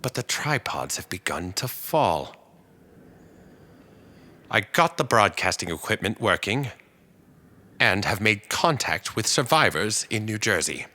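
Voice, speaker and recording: A man playing a weary but resolute invasion survivor, speaking haltingly in a radio drama monologue. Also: lowish voice, very animated, pushed and bright, exaggerated voice. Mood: weary, dazed. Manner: halting, pausing, deliberate.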